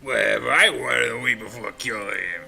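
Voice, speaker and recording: Casual co-host, very sharp and forceful tone with fry, offhand quips. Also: mid-range voice, brisk, soft background music. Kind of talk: casual banter.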